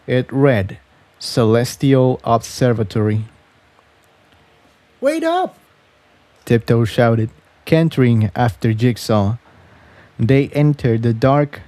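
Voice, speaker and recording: Unhurried, mellow male story narrator. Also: mid-range voice, dry recording. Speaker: audiobook narrator.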